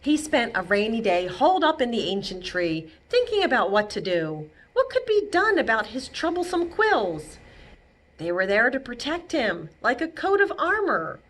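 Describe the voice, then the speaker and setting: A woman's voice: a little high, very animated, moderate pace, fairly bright tone. A woman reading a picture book aloud to young children as a storytime librarian, expressively, with simple asides explaining words and pictures.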